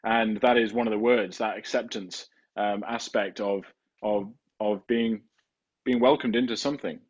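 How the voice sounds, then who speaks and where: A man's voice: on the low side, steady tone, normal speed, slightly rough, dull audio. A man hosting a podcast interview, asking long, hesitant questions full of restarts. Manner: hesitant, many fillers and restarts. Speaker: podcast host. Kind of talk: conversational interviewing.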